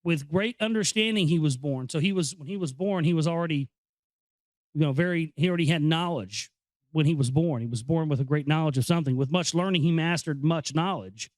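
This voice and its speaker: A man hosting an informal read-aloud, explaining and commenting in a halting, conversational way. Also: a little high, dry recording. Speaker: host reading a book aloud with commentary. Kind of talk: informal reading with off-the-cuff commentary.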